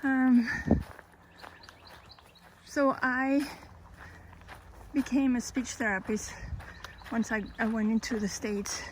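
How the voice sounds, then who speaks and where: A woman in her fifties from Colombia with a Colombian Spanish accent, slowly telling her life story, pausing and correcting herself. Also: on the high side, poor audio. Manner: slow, thoughtful, with pauses and self-corrections. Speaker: hiker and vlogger. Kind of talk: personal life story, casual. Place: outdoors on a trail. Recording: outdoor recording with background noise.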